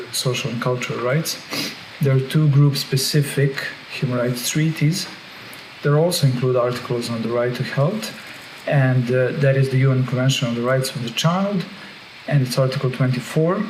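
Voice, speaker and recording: A man from Serbia, a doctoral researcher giving a prepared academic lecture by video call in a measured, formal way. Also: medium pitch. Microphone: joining by video call. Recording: echoey call audio with faint noise.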